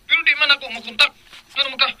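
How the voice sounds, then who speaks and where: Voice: high-pitched, fairly even intonation, rapid-fire, pushed and bright. An actor in a Visayan Filipino radio drama playing an anxious, puzzled companion who asks questions. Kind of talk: broadcast radio drama acting.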